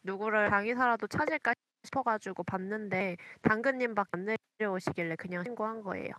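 Bright-voiced woman player explaining. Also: medium pitch, fairly quick, no echo, low-quality recording. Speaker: player in voice chat. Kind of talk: casual game chat.

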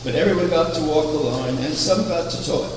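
Older man, sermon at a pulpit, echoey chapel, recorded from far off, air conditioning hum. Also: fairly high pitch. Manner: measured, anecdotal, with rhetorical questions. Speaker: preacher, founder of a religious order. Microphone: far camera.

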